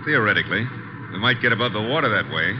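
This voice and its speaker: Casting: male actor as easygoing American legman, low voice, wry quips, vintage radio drama with heavy noise. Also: lively intonation, fast talker, natural voice. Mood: wry, observant. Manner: breezy, wry wisecracking. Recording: noisy, muffled vintage radio recording.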